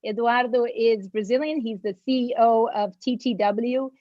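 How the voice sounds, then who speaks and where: A woman with a somewhat high voice opens a virtual panel, effusive and gracious, thanking everyone as she goes. She speaks from a video call, and the sound is dry and a little dull. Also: normal speed, no echo. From Mexico. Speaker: panel moderator and agency founder. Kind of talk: opening remarks for a virtual panel.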